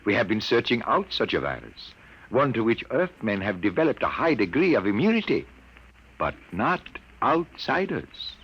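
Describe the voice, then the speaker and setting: Troubled sixty-something scientist, low husky voice, swooping delivery, muffled vintage radio. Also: male voice, sing-song, normal speed, heavily acted. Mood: grave, explaining. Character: grave older male scientist of Earth, aged sixty-two, troubled. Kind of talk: 1950s radio drama acting. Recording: muffled old radio broadcast.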